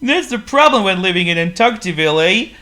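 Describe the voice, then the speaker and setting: A man doing a high chatty penguin. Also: sing-song, fairly quick, sharp tone, full character voice. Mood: gloomy. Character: cheerful male penguin, friendly and chatty.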